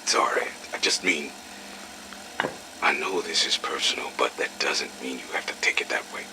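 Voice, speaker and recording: An actor playing a blunt but sympathetic, weathered army major in an audio drama. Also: male voice, a bass voice, moderate pace, heavy background noise. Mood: earnest, sympathetic.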